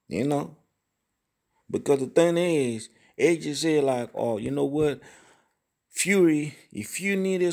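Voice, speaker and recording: A man's voice: fairly high pitch, gravelly, darkish tone. A man hosting a boxing channel, giving casual, profane commentary and often asking "you know what I mean?".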